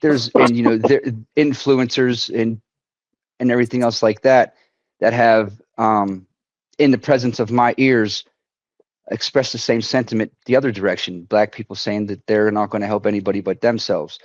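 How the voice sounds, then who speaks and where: A man, a veteran, telling a personal story at a community council in a halting way, often restarting and quoting others. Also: medium pitch, steady tone, dry recording, muffled recording. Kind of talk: personal story told to an online forum.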